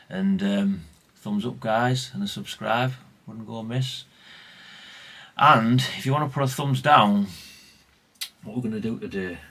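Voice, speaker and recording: A man's voice: lowish voice, fairly bright tone. A middle-aged man chatting casually and colloquially in a rambling vlog, often tagging questions onto what he says. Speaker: vlogger, radio collector.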